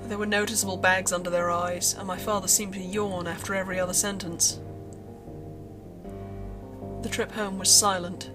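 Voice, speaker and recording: A woman's voice: mid-range voice, level tone, normal speed, long pauses. A woman reading a scripted horror story as narrator, in first person. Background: music.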